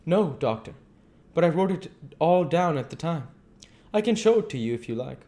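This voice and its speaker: Demure young wife played by a male narrator: much higher pitch, prim, proper and helpful, storybook delivery. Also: expressive, moderate pace, mellow. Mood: eager, helpful. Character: demure, polite young married lady, eager to help.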